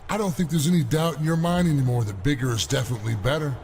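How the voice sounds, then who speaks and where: A man's voice: medium pitch, fast, a little mellow, a little hiss or hum, lightly acted. An actor playing a cocky, boastful wrestler bragging about his size.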